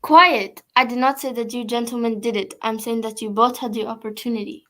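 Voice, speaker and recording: A woman's voice: on the high side, fairly even intonation, brisk, sharp tone. A woman playing a formal, authoritative prefect hearing a case in an amateur radio mystery play. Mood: firm.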